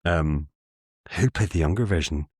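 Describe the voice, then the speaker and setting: A young Irish man in casual interview talk, telling animated anecdotes, laughing often and acting out quoted lines. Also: sits low, moderate pace, breathy edge, no echo, clean studio sound. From Ireland. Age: young adult. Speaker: guest theatre maker.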